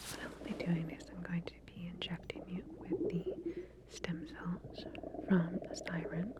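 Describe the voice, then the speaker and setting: A woman whispering softly in an ASMR roleplay as a medical researcher, gently giving reassuring instructions. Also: fairly low pitch, a little slow. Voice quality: breathy, unvoiced whisper.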